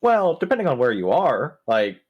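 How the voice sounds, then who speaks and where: A man's voice: medium pitch, big pitch swings, normal speed, lo-fi sound. A man playing a tabletop role-playing game, talking casually with the group about moves and rolls. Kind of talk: casual chat during a game.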